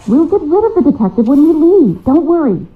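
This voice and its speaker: Phone-like filtered audio, scheming female villain, tense, secretive, controlling, slightly high voice. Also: big pitch swings, fairly quick, soft background music. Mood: secretive, reassuring. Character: scheming treasure-hunting woman, tense, conspiratorial, controlling. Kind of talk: video game dialogue acting. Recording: thin phone-like narrow band.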